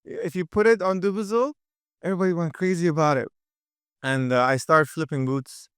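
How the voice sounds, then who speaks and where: A lively young man from with a crisp voice. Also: a little high, no echo, studio quality. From Dubai. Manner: energetic, quick, with many fillers and restarts. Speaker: podcast guest, entrepreneur. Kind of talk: casual interview chat.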